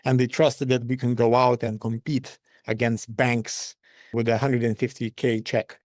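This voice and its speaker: A man, a company founder giving measured, matter-of-fact answers as an interview guest. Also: mid-range voice, little pitch movement, no room sound, studio quality. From Lithuania.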